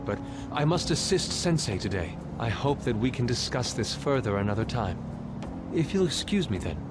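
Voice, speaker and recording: A man's voice: medium pitch, close to an everyday voice. An actor voicing a proud, formal young art student, loyal to his teacher, with theatrical diction in an English game dub. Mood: earnest, formal. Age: young adult. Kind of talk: dramatic English video game dub acting. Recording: music behind the voice.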